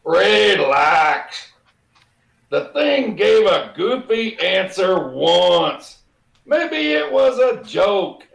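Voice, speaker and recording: Blustery middle-aged male boss for radio comedy, man acting: high-pitched, swooping delivery, emphatic, roomy sound. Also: big pitch swings, extremely slow, vocal fry, a bit bright, exaggerated voice. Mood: dismissive.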